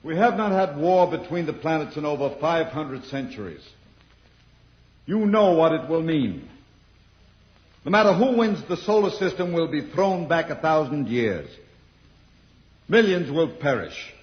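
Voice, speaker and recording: A man's voice: on the high side, lively intonation, measured pace, pauses often. An actor playing a grave, pleading judge and statesman in a vintage radio serial, speaking in formal, earnest oratory. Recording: dull, muffled old radio recording.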